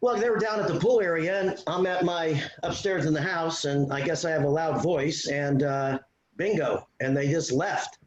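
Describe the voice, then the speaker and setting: Male caller, slightly high pitch, roomy lo-fi call audio, casual halting talk. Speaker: caller sharing a story. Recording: roomy, dull, light noise.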